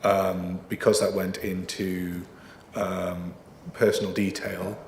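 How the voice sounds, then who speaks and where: A man's voice: low voice, level tone, slow, darkish tone, echoey room, long reverb tail, slight background noise. A man working as a planning officer, explaining procedure formally and carefully, with frequent ums. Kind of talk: explaining procedure in a council meeting.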